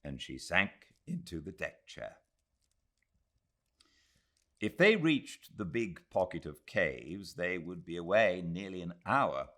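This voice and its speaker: A British man reading literary narration as an audiobook narrator, measured and expressive. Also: low-pitched. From Britain.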